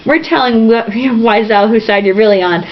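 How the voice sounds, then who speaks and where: A woman's voice: a little high, normal speed, muffled recording, slight background noise, natural voice. A woman voicing a menacing young male street thug with slangy, taunting threats, in an amateur storybook reading. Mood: taunting menace. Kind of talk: amateur storybook read-aloud.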